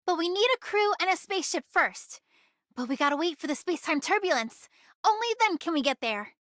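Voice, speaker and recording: A woman's voice: very high-pitched, lively intonation, a little fast, a bit bright, no echo, clean studio sound. A woman voicing a peppy, bossy and eager young female crewmate in an anime game. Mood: peppy, playful.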